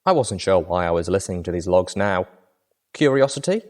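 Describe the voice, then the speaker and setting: A man's voice: deep voice, expressive, brisk, a little mellow. A man reading first-person dramatic fiction as an audiobook narrator.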